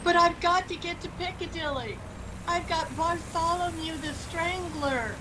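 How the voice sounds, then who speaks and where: High-voiced anxious young boy, played by a woman. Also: a little slow. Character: anxious, polite young boy running an errand. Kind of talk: theatrical radio play acting. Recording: noisy streamed radio play.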